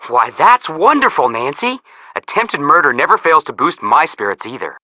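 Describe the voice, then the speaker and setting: A man's voice: fairly high pitch, very animated, normal speed, sharp tone, dry recording, heavily acted. A man playing a sarcastic young amateur detective, a joking younger brother, in a video game. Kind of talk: scripted game dialogue.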